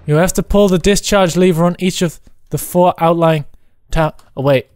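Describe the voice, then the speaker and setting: A man casually commentating on a game he is playing, excitable and given to exclamations. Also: high-pitched, moderate pace, clean tone, dry recording, clean studio sound. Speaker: gamer playing a co-op roleplay map.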